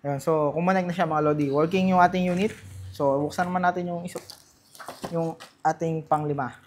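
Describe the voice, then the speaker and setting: A man's voice: on the high side, steady tone, fairly quick, a little hiss or hum. A Filipino man doing casual unboxing and testing commentary as a vlogger, talking informally straight to his viewers and mixing in English. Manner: informal, addresses viewers directly, mixes Tagalog and English.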